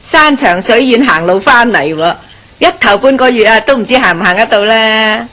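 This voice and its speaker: A nosy, kindly village wife in a Cantonese radio play from Hong Kong. Her voice sits fairly high, with eager, gossipy exclamations. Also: expressive, moderate pace, a bit bright. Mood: cheerful, curious. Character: chatty, nosy, warm-hearted village married woman. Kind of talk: radio drama acting.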